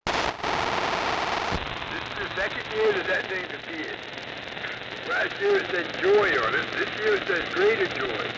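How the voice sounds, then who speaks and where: High, gravelly man over heavy noise. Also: wildly swooping pitch, unhurried, long pauses. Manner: folksy, teasing. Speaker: CB radio operator streaming live. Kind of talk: casual storytelling banter.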